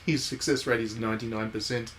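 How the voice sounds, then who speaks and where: A man's voice: mid-range voice, steady tone, fast, a bit bright, a little hiss or hum. A man hosting a game stream, giving casual live commentary and thinking aloud in conversational asides.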